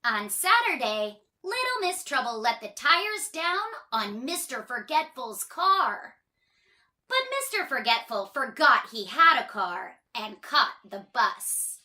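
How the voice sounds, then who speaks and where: High, bright, very animated woman storyteller. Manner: expressive, drawn-out emphasis. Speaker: children's storybook reader. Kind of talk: animated read-aloud storytelling for children.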